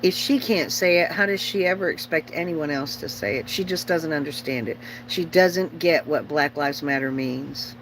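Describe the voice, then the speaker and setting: A woman's voice: deep voice, normal speed, few pauses, poor audio. Blunt middle-aged woman, humming background noise. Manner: opinionated, blunt, repeats herself for emphasis. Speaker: livestream host chatting with viewers. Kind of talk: casual rambling livestream chat.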